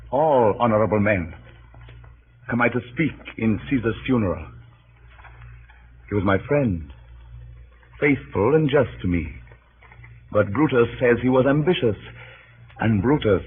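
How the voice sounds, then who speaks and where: An actor playing a grieving, cunning Roman orator rousing a mob in declamatory, deliberate 1930s radio Shakespeare style. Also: male voice, medium pitch, natural voice. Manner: declamatory, deliberate, swinging from hushed to impassioned. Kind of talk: 1930s radio Shakespeare acting, funeral oration. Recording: scratchy, tinny 1930s radio broadcast.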